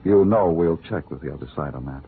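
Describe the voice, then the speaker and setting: An actor playing a stern, terse male police investigator with a gruff voice in an old radio drama. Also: extremely low pitch, brisk, heavily acted. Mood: official. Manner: terse, official. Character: stern, dry police investigator questioning a suspect. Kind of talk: 1940s radio drama acting. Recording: muffled, tinny old radio recording.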